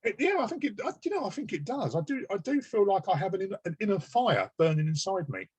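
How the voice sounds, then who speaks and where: He is an effusive male host in his sixties with a husky, somewhat high voice. He talks fast and often doubles his words, and the sound is dry, close and bare. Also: sing-song, no echo. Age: 60s. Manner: enthusiastic, effusive, repeats words. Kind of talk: casual interview chat.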